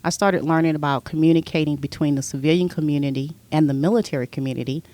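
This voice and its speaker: A woman's voice: low-pitched, fast talker, dry recording. Woman telling her life story, dry sound. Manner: relaxed storyteller, recounting her past at length. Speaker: podcast guest. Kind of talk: casual interview chat.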